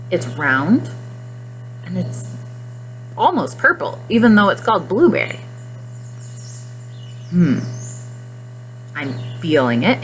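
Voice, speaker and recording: A woman teacher speaking to young children in simple, expressive phrases during a guided reading lesson. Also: lowish voice, low-quality recording. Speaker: classroom nutrition teacher.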